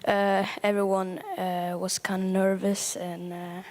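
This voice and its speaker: A young woman sharing thoughts casually, halting with many filler sounds. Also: mid-range voice, level tone, darkish tone. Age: young adult. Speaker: conference participant. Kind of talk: casual reflection. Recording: long hall echo.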